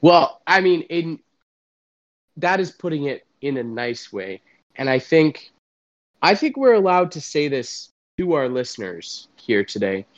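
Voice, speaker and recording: Slow, solemn Canadian man on a dull mic. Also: on the high side, big pitch swings. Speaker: podcast co-host. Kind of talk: deadpan mock-serious comedy chat. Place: home, remote recording. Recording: dull, dry, lo-fi sound.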